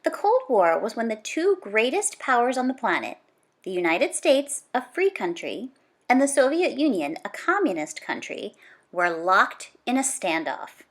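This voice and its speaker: A woman reading a children's book aloud like a teacher, in an animated, conversational way with emphatic stress on repeated words. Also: fairly high pitch, a little slow.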